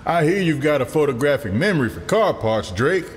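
An actor playing an earnest, ambitious district attorney in an audio drama, with a measured, persuasive way of talking. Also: male voice, on the high side, very animated, slight background noise. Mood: casual, probing. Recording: long reverb, music underneath.

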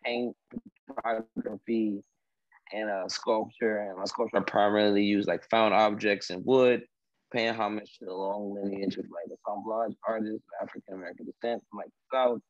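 A young man with a lowish voice, first language Haitian Creole, talks slowly through his art with plenty of fillers. He is heard over a dry, dull video call line from a room at home. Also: fairly even intonation, no echo. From Washington, D.C. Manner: halting, many fillers. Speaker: artist. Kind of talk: video call artist talk. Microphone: laptop video call.

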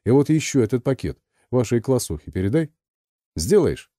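A man's voice: medium pitch, big pitch swings, normal speed, mellow, no echo, clean studio sound, voice pushed up, lightly acted. A man voicing a falsely friendly young man in an audiobook, casual and slangy as he coaxes a child. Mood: chummy, coaxing.

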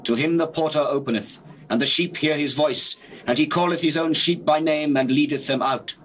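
An actor playing a solemn, authoritative holy teacher in dramatized scripture, declaiming in measured phrases with deliberate pauses. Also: male voice, a little high, fairly bright tone, natural voice. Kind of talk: dramatized scripture, classical stage delivery. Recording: faintly noisy old cassette.

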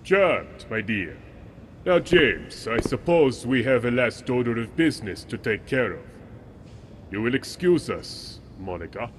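A man's voice: mid-range voice, big pitch swings, measured pace, noisy background. An actor in an audio drama playing a formal, courtly businessman who is politely menacing and evasive. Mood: courteous. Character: smooth-talking, menacing male corporate client representative.

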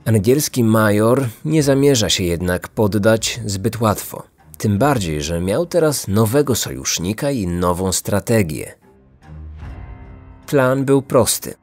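A man's voice: fairly low pitch, expressive, measured pace, a little mellow, soft background music. A man in his thirties reading scripted true-crime narration as a podcast host.

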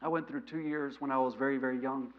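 A man in his thirties with a mild stutter, preaching a sermon in a church sanctuary, animated and gesturing, swinging between loud emphasis and quiet asides. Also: medium pitch, quick pace. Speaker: missionary preacher. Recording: lo-fi church recording.

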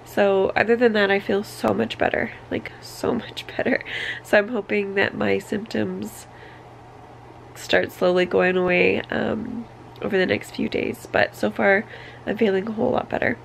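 A woman's voice: mid-range voice, little pitch movement, normal speed, creaky, slight background noise. A woman in her thirties with a congested, sick voice, giving an informal, hesitant vlog update into a handheld camera. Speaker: family vlogger. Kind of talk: casual personal vlog update. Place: small bedroom, indoors. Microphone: camera held at arm's length.

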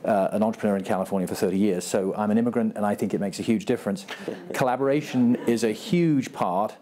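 Fast-talking Texan businessman on a panel. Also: fairly low pitch, warm tone. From Texas. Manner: brisk, self-deprecating, list-like. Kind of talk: panel discussion remarks. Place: large conference stage before an audience. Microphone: lapel mic.

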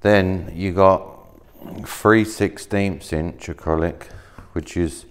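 A man in his thirties casually showing materials at a workshop table, talking in a relaxed, rambling way with plenty of fillers and restarts. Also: sits low, takes it slowly, a little hiss or hum. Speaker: maker demonstrating laser-cut materials. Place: workshop, indoors, at a work table.

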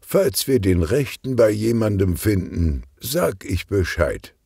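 A man voicing a calm, good-natured, portly police detective who talks in an unhurried, murmuring way for a children's audiobook. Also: fairly low pitch, gravelly, slightly rough, no room sound, studio quality, voice pushed up, heavily acted. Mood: thoughtful, friendly.

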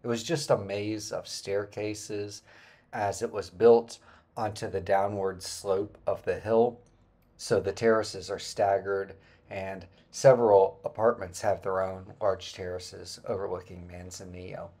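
A man in his forties narrating a travel vlog about a hike, relaxed and explanatory, with frequent filler words. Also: low voice, measured pace. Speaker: travel vlogger. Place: indoor room, then voice-over on hike footage.

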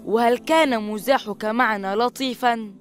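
An actor voicing a calm, sensible teenage boy, the wise one of the family in a cartoon. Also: mid-range voice, normal speed, faint music behind, close to an everyday voice. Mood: calm, reproving. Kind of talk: animated cartoon dialogue.

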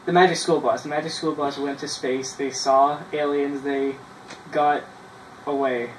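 A man's voice: mid-range voice, little pitch movement. Young man in a roomy, distant recording. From Canada. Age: 20s. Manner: halting when reading, self-mocking asides. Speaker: vlogger. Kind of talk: casual vlog, reading childhood writing aloud. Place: small bedroom, indoors. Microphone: camera a few feet away. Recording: roomy, distant mic with noise.

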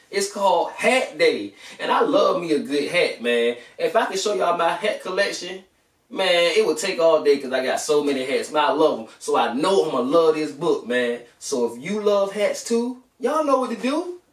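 A young American man hosting a children's bedtime read-aloud in an informal, slangy way. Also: on the high side. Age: young adult. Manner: informal, slangy, addressing listeners familiarly. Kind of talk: casual chat to children and storybook reading. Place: small room at home, indoors. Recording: echoey room, distant camera microphone.

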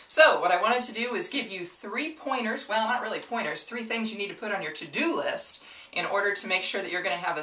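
Upbeat woman in her forties, from Kansas, coaching volunteers to camera, heard a bit off-mic in a small echoey room. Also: fairly high pitch. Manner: upbeat, animated, gestures toward a whiteboard. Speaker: auction consultant. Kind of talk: enthusiastic advice video. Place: small room with a whiteboard, indoors. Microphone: camera mic at a short distance. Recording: roomy, slightly distant camera audio.

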